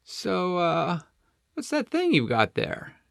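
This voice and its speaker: A man's voice: sits high, wildly swooping pitch, slow pace, vocal fry, a bit bright, no room sound, studio quality, pitched above the performer's own voice, exaggerated voice. A man voicing a friendly, easygoing male penguin in a children's story, chatty and helpful, with folksy hesitations. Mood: curious, casual. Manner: chatty, hesitant "uh" and "you know", reassuring.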